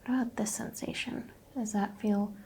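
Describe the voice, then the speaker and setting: A woman whispering gently as a doctor in an ASMR medical exam roleplay, asking soft questions. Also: fairly high pitch, fairly even intonation, moderate pace, vocal fry, slight background noise.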